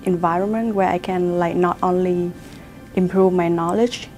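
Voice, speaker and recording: A woman's voice: fairly low pitch, steady tone, normal speed, a little mellow, faint music behind. A young woman from Vietnam with a Vietnamese accent, answering interview questions as a student and searching for her words. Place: university lab building, indoors.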